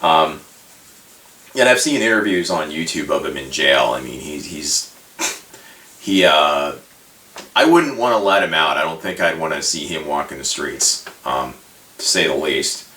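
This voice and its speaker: A man with a low, bright-edged voice talks as a casual video host, meandering and hesitant through his commentary. His delivery is informal and halting, picked up by a microphone set back in a roomy, echoing space. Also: expressive. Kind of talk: casual rambling commentary on a hobby. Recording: roomy echo, distant microphone.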